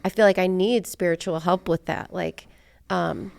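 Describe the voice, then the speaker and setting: A woman's voice: lowish voice, fairly even intonation, normal speed, creaky, darkish tone, no echo, studio quality. A woman hosting a podcast, talking casually and candidly in a rambling way sprinkled with "like". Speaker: podcast host. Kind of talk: casual personal chat.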